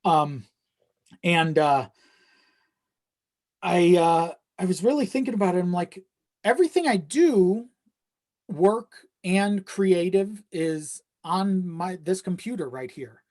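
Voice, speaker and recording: A man's voice: high-pitched, animated, slow, long pauses, a little mellow. A man chatting casually as a podcast guest, often checking in with the listener as he goes. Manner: conversational, frequent you-know check-ins.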